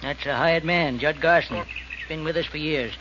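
An actor in 1940s radio style playing a stubborn old farmer with folksy rural speech and a guarded, unwelcoming temper. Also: male voice, on the high side, moderate pace, natural voice. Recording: dull, noisy old radio recording.

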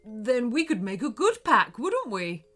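A woman's voice: fairly high pitch, sing-song, measured pace, fairly bright tone, soft background music, full character voice. A woman voicing a large, friendly male wolf in a children's storybook, sheepish, easily worried and chattily apologetic. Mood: hopeful.

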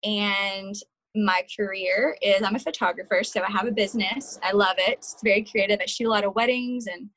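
A woman's voice: mid-range voice, fairly quick, a little hiss or hum, low-quality recording. Giggly woman in her late twenties. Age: 20s. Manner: relaxed, giggly, says "like" a lot. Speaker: guest, photographer and worship leader. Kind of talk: casual chat. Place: home, talking over a video call.

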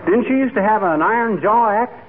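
An actor playing a blustery, wisecracking, sheepish husband with a folksy, stammering manner in an old radio comedy. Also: male voice, sits high, fairly quick, natural voice. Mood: teasing, nosy. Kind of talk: 1940s radio comedy acting. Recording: tinny, noisy old radio recording.

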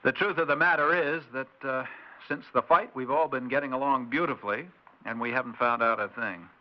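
An actor playing a suspicious, impatient suburban husband in a 1960s radio drama, blunt and insistent. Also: male voice, mid-range voice, brisk, lightly acted. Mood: confiding. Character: exasperated suspicious suburban husband demanding answers. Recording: dull lo-fi 1960s radio recording.

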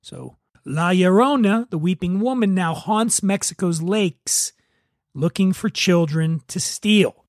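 A man's voice: sits high, slow, dry recording, studio quality. A man hosting a podcast, giving a semi-scripted review in a conversational way with wry, joking asides. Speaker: podcast host reviewing spirits.

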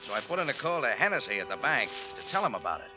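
Actor as wry, lively hard-boiled American. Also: male voice, mid-range voice, racing, music in the background, lightly acted. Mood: wry, unruffled. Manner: dry, sardonic, slangy. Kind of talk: 1940s radio acting, first-person narration.

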